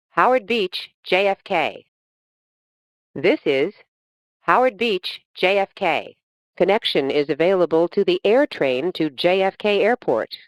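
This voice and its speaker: Slow, measured woman announcing train stops. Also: fairly low pitch. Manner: measured, formal, phrase-by-phrase delivery. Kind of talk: recorded automated transit announcement, scripted.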